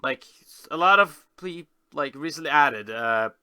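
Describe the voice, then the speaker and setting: A man giving a casual commentary as a video host, rambling and often halting to correct himself. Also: a little high, expressive, pushed and bright, smooth, dry recording. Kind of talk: casual screen-tour commentary.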